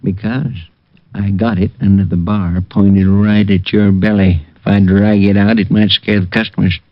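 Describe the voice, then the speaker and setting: An actor playing an older American bar owner and crook, gruff, sly and threatening, in a vintage radio crime drama. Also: male voice, lowish voice, little pitch movement, moderate pace, close to an everyday voice. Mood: menacing. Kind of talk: old-time radio crime acting. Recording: dull, noisy old radio recording.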